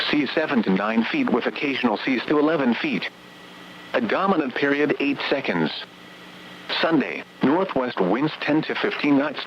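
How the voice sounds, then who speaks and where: A synthetic male text-to-speech voice reading scripted weather bulletins as an automated radio announcer, impersonal and formulaic, in clipped segments. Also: medium pitch. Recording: muffled, noisy weather radio broadcast.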